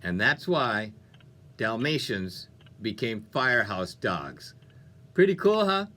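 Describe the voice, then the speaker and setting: A man's voice: on the low side, big pitch swings, slow, sharp tone. A man hosting a music radio show with upbeat, playful, conversational DJ patter. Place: radio studio.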